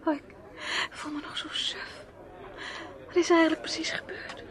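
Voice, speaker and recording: A woman's voice: very high-pitched, level tone, moderate pace. An actress in a Dutch radio play playing an anxious, fragile woman who speaks hesitantly and repeats her words. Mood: dazed, faint. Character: anxious, fragile stage actress, sister of a missing man.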